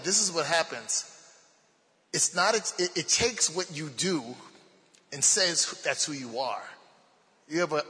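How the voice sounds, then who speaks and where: A man's voice: on the high side, pushed and bright, long reverb tail. A man with a Baltimore accent, a pastor leading a panel discussion and teaching through repeated points.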